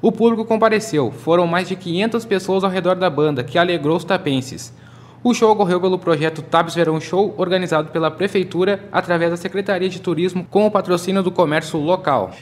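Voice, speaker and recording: A man giving a measured voice-over for a local news report. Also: high-pitched.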